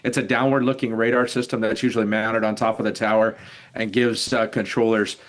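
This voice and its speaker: An older man, an expert guest in a news interview, explaining in a measured, halting way. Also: fairly low pitch, little pitch movement. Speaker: retired air traffic controller, expert guest.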